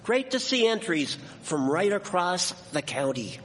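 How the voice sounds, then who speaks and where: A male actor as a folksy, progress-minded countryman in a period radio play. His fairly high voice rises and falls animatedly, with long reverb around it. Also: very animated, unhurried, noisy background. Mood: opinionated. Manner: folksy, old-fashioned. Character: opinionated, progress-minded 1870s county man at a fair. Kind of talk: amateur historical radio drama. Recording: long echoing hall reverb.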